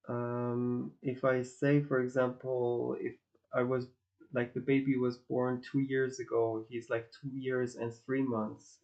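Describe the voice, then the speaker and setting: A man from northwest Iran, a native Azeri and Farsi speaker, chatting casually with his stream viewers and often pausing to search for words. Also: medium pitch, a little mellow, highs cut off. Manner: hesitant, searching for words, many restarts and fillers. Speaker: livestream host.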